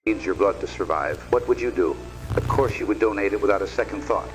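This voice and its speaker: A sinister president played by a man delivers a formal televised address in an analog horror piece. His voice is somewhat low, measured and insistently earnest, with music clearly underneath. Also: close to an everyday voice, poor audio. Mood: solemn, persuasive. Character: sinister US president giving a persuasive public address.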